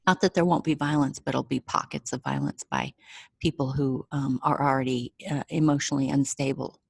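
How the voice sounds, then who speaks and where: A woman's voice: a bass voice, expressive, a little fast, vocal fry, husky, no room sound, studio quality. An American woman giving an informal, explanatory astrology talk to viewers, going patiently step by step and checking that they follow. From United States. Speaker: astrologer presenting a chart reading. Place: indoors, away from home.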